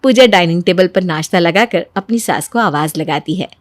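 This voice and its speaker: A woman's voice: on the low side, fairly quick, dry recording. A woman narrates a children's moral story as a scripted read. The sound is dry and close, with no room around the voice.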